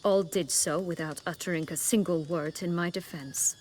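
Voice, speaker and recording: An actress playing a proud, bitter exiled noblewoman in a video game, with haughty, formal, measured speech. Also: lowish voice, darkish tone, a little hiss or hum, close to an everyday voice.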